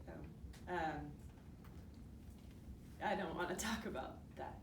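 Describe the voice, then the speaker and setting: A woman playing a grieving but upbeat widow from Seattle in a dramatic monologue, talking haltingly and conversationally as if interviewed for a documentary. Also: fairly high pitch, animated. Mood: guarded, pained. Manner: halting, conversational, with nervous asides. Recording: distant roomy hall recording with faint noise.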